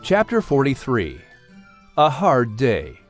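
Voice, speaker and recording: A man reading a children's audiobook as narrator in an expressive, scripted storytelling style. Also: medium pitch, slow pace, faint music behind.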